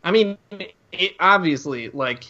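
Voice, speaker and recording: A man's voice: fairly high pitch, expressive, a little slow, pushed and bright. A man hosting a podcast, chatting casually and jokingly about movies over a slightly dull, lo-fi recording. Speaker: podcast host. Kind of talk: casual joking film chat.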